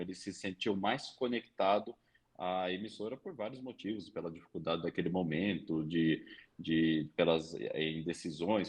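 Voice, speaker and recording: Low husky Brazilian man explaining. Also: darkish tone. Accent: Brazilian Portuguese. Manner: explanatory, leans on tag questions and fillers. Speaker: radio industry commentator. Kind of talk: podcast commentary.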